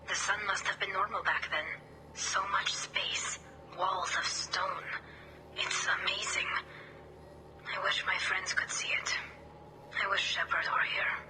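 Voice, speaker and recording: A woman's voice: mid-range voice, fairly even intonation, slow, in a whisper, low-quality recording. A woman playing an earnest, wistful young female alien engineer in a video game, heard through a helmet radio filter. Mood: wistful, hushed. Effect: helmet filter, radio comms. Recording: game audio with music behind, filtered voice. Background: music.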